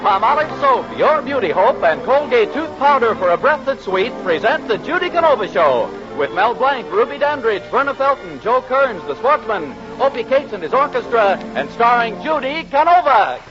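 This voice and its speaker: A man's voice: very high-pitched, very animated, moderate pace, hardly any pauses. A man announcing a scripted show in showy, projected 1940s radio style. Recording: muffled old radio with loud music.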